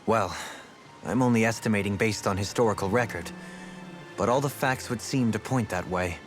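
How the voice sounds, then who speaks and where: Deep, refined, pensive nobleman played by an actor. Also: moderate pace, lightly acted. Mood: serious, musing. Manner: eloquent, formal, pensive, articulate. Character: refined, thoughtful nobleman turned rebel ally. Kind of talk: video game English dub acting. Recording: game music playing behind. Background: background music.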